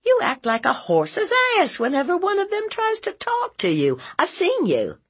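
Woman playing a fierce scolding aunt, high and animated. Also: moderate pace. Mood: exasperated, concerned. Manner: emphatic, interrogating, folksy and forceful. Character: fierce, scolding Southern aunt, blunt and protective.

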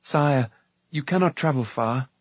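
A man's voice: medium pitch, measured pace, husky, no echo. An earnest young hero from a storybook, read by a man. He speaks formally and humbly in a mid-range voice. The recording is dry. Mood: urgent. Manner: sincere, formal.

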